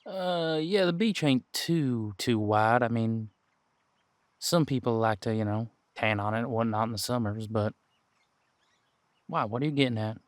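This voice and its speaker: A man playing a seasoned, wry detective who patiently mentors a rookie in an audio roleplay drama, musing aloud in unhurried, folksy phrasing. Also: medium pitch, no room sound, close to an everyday voice. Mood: thoughtful, questioning. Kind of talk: roleplay audio drama, one-sided conversation.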